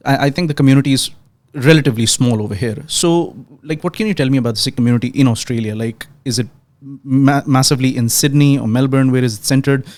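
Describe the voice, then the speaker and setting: A man's voice: medium pitch, quick pace, a little mellow. A young man from Pakistan hosting a podcast, reading a scripted intro and then asking questions in a hesitant, conversational way with frequent restarts. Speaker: podcast host. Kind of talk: podcast interview.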